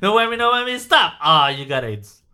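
A man's voice: high-pitched, sing-song, fairly quick, sharp tone, smooth. A man hosting a podcast in casual chat, joking and laughing often. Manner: jokey, laughs a lot. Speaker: podcast host.

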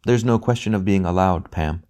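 A man voicing a bright spirit, a brother who speaks gently and patiently in a calm, measured way. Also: low-pitched, close to an everyday voice. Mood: gentle, patient.

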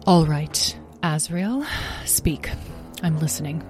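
A woman voicing a concerned mother dragon in a storybook, with a measured, restrained, serious manner. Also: deep voice, a little mellow, faint music behind. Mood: stern, restrained.

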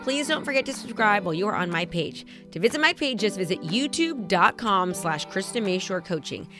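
A woman quickly delivering a channel subscription promo. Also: mid-range voice, lively intonation, music in the background. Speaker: channel host. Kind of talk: promo outro.

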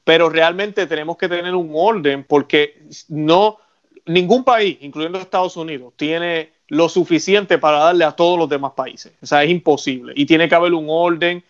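A man's voice: fairly high pitch, normal speed, lo-fi sound. Earnest Puerto Rican male host. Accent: Puerto Rican Spanish. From Puerto Rico. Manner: earnest, preaching tone with religious references. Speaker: radio show host. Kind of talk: conversational talk show.

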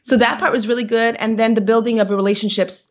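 A woman's voice: fairly high pitch, fairly even intonation, quick pace. A woman in her thirties from New York, whose first language is Yiddish, telling her personal story in an interview, reflective and searching for words with many fillers. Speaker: guest. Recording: dull lo-fi recording.

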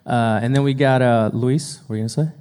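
A man with an average-pitched voice works as a podcast co-host. He speaks casually, reading out and putting questions to the people with him. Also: steady tone, fast talker, mellow, smooth.

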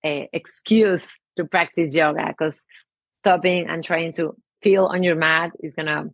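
A woman with a Mexican Spanish accent talks as a guest in a casual interview. She speaks gently and feels her way through her phrases. Her voice is low and breathy, and it is recorded close and dry. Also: dry recording. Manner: gentle and searching, many restarts and "I mean". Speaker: yoga teacher and podcast guest.

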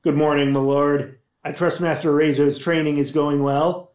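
A man voicing a formal, deferential male butler who speaks in a measured way. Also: on the high side, level tone, a little mellow, close to an everyday voice. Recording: roomy, dull lo-fi audio.

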